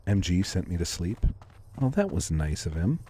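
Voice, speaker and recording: A man live-streaming a video game from home, talking to his chat in a soft, unhurried, calming voice and thanking viewers as they come in. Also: breathy edge. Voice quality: calming low voice. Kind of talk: casual live-stream chat while gaming. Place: home room.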